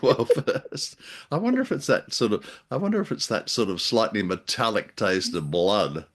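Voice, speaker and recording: An older man hosting a radio show, chatting casually in a deep voice, with a wry, joking and hesitant manner. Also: sing-song, normal speed. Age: older, about 70s. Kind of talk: casual chat with joking banter. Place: indoors, on a video call.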